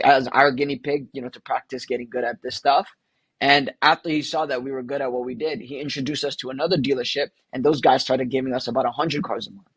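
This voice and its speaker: A man from the Philippines, a guest entrepreneur telling long stories in an energetic, casual interview way. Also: mid-range voice, husky, dry recording, poor audio.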